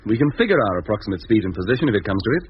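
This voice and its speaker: An actor playing a firm, authoritative spaceship captain in a 1950s radio drama, brisk and decisive. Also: male voice, on the low side, big pitch swings. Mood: reasoning, firm. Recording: dull, noisy 1950s radio recording.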